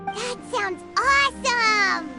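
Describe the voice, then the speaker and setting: A woman voicing a tiny, childlike fairy companion in a video game, squeaky, perky and cheeky. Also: expressive, takes it slowly, loud music behind the voice, full character voice. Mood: amazed. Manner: refers to self in third person, perky. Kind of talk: cartoonish video game voice acting.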